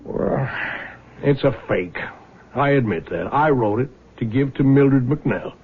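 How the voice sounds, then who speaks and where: A man's voice: medium pitch, animated, slow, slightly rough, full character voice. An American actor playing a crafty, stubborn middle-aged father in a radio drama, speaking in a dry, indignant manner. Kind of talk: 1970s radio drama acting. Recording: lo-fi muffled vintage radio recording.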